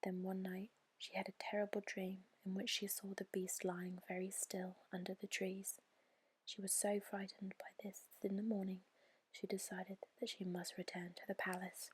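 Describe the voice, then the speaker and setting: A woman whispering a bedtime story in a soft, breathy, unhurried and soothing ASMR style. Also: medium pitch, monotone, a little hiss or hum. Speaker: storyteller, ASMR channel host.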